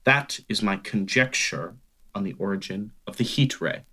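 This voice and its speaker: A man playing a learned scientist, formal and deliberate, explaining things in a radio play. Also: on the low side, animated, bright, forceful tone, husky, heavily acted. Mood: grave, analytical. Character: measured male scientist, a learned professor. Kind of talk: radio play expert statement.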